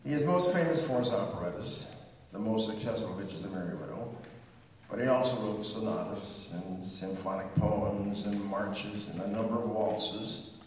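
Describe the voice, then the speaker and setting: A man's voice: fairly low pitch, moderate pace, a little hiss or hum. Older man lecturing from far away in an echoey hall. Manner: chatty and digressive, sings snatches of tunes. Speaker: pre-concert speaker. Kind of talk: informal music history talk.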